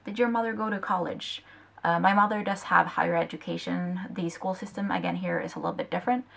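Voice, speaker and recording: A woman's voice: on the low side, animated, fast, vocal fry, a bit bright. A young woman from Norway, a native Norwegian speaker with a Norwegian accent, chatting casually as she reads out and answers questions in a rambling vlog. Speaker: vlogger.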